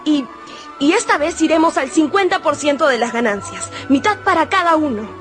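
A woman's voice: sits high, expressive, normal speed, a bit bright, soft background music. An actress playing a proud young aristocratic lady in a radio drama, formal and imploring. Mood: pleading. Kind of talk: Spanish-language radio drama acting.

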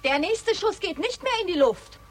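High-voiced, bold young woman. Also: very animated, very noisy. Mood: defiant. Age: young adult. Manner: quick, defiant. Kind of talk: 1960s German radio drama acting. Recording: old radio play, loud crowd.

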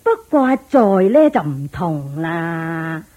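Slow, blunt matchmaker auntie. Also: female voice, low voice, sing-song, darkish tone, full character voice. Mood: reasoning. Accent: Hong Kong Cantonese. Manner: sighing, lecturing, drawn-out phrasing. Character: blunt older village matchmaker auntie, worldly and doubtful. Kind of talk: Cantonese radio drama acting. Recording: dull lo-fi radio drama with music.

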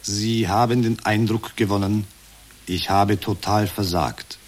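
An actor playing an unflappable counsellor with a husky voice and a measured, detached, formulaic delivery in a radio drama. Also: male voice, on the low side, fairly even intonation, a little hiss or hum, natural voice. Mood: detached, soothing. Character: unflappable therapy counsellor machine parroting stock empathy phrases. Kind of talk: satirical radio drama acting.

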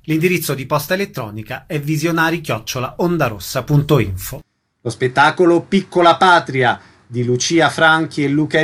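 A man working as a radio announcer, reading scripted programme information. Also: a little high.

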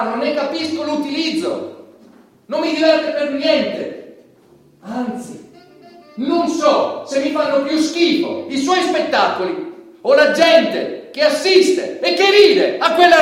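An actor playing a stern, indignant judge who moralizes in a formal, emphatic, theatrical way. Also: male voice, very high-pitched, exaggerated voice. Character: indignant, stern male judge condemning an obscene comedian. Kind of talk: theatrical stage monologue. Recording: echoey hall, distant mic, music behind.

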